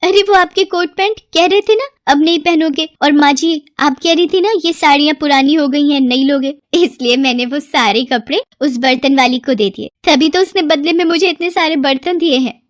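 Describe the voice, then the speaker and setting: A woman voicing a foolish, eager young housewife in an excitable, chirpy way for a cartoon moral story. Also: high-pitched, normal speed, hardly any pauses, no echo, clean studio sound, pitched above the performer's own voice, natural voice. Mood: excited, proud.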